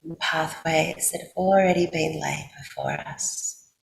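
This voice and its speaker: A woman with an Australian accent guides a sleep meditation in a soothing, unhurried way, leaving long pauses. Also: sits low, big pitch swings, creaky. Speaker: yoga nidra guide. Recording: roomy echo, faint background noise.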